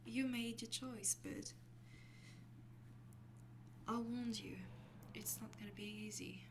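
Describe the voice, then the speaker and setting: Very slow, soft whispery vampire girlfriend, played by a woman. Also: fairly high pitch, steady tone. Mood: gentle, instructive. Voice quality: soft, breathy, often dropping to a whisper. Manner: slow, hesitant, intimate, with small breathy laughs. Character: affectionate young female vampire girlfriend mentoring a newly turned lover. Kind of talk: ASMR roleplay. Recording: clearly noisy recording with hum.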